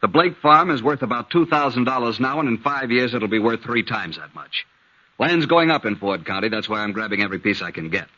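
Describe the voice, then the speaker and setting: A greedy frontier moneylender with a curt, dismissive manner and a lively, bright voice. The sound is muffled and lo-fi, like an old radio broadcast. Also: male voice, medium pitch, fairly quick. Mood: cold. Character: greedy, cold male land-grabbing moneylender. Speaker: banker villain. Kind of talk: 1950s radio drama acting. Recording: dull lo-fi vintage radio recording.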